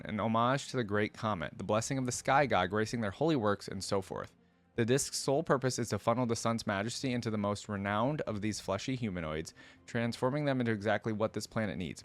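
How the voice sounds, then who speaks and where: A man's voice: lowish voice, dry recording. A man reading a story aloud as a reaction creator, breaking into casual commentary to pick it apart. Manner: stops to dissect and paraphrase what he reads. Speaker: video creator reacting to game lore.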